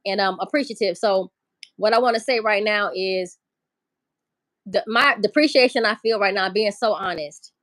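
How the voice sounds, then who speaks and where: A woman's voice: mid-range voice, normal speed, a bit bright, no room sound, clean studio sound. A woman hosting a casual livestream, talking loosely, swearing and greeting viewers in the chat. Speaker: livestream host.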